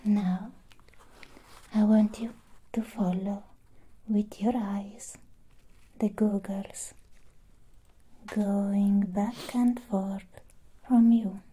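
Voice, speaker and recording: A protective robot doll girl acted by a woman speaks in a hushed ASMR whisper, close to the mic. The voice is very soft and mellow, breathy and slow, calmly guiding the listener. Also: mid-range voice, rough recording. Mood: hypnotic, calm. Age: young adult. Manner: hushed, whispering, deliberate instructions. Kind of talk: ASMR roleplay. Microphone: close ASMR microphone.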